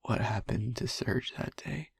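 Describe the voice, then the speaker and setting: A man playing a traumatised young vampire boyfriend in an intimate audio roleplay, speaking in a breathy hush with halting pauses. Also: on the low side, no room sound, heavily acted. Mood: anguished. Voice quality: breathy, whispery. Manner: halting, hushed, pausing mid-sentence.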